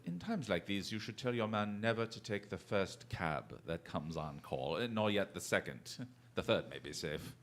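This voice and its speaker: Radio drama lead: urbane, self-assured English detective, lowish register, very lively intonation, theatrical and amused. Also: male voice, a little hiss or hum. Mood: genial, sly. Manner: urbane, theatrical, drawn-out courteous phrasing.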